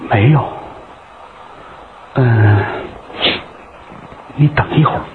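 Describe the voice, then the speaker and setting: A man voicing a gentle young courtesan in a told story, speaking softly and low. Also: drawn-out words, noisy background. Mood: tender. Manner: soft, low and hushed. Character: young gentle courtesan woman, tender and resolute. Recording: tinny phone-like sound.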